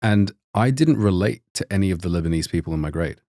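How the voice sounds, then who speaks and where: A young man tells a long, casual story in a low, deep voice. He rambles, restarts and fills gaps with "like" and "um". The sound is close and dry. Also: animated, fast talker, dark tone, no echo. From Australia. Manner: rambling, many restarts and fillers. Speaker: podcast guest, doctor.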